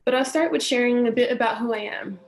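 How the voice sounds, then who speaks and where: A woman from California with an American accent, talking gently and unhurriedly as she hosts a guided meditation session and speaks in spiritual phrases. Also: a little high, quick pace, creaky. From California, United States. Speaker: meditation teacher and session host. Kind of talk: welcoming introduction to a guided meditation, personal talk.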